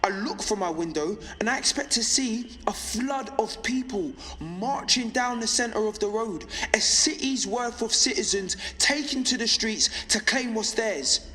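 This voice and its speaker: An actor playing a defiant young pirate radio broadcaster in an audio drama, delivering a measured, impassioned monologue. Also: male voice, a little high, expressive, bright, forceful tone, long reverb tail, poor audio. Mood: impassioned, angry.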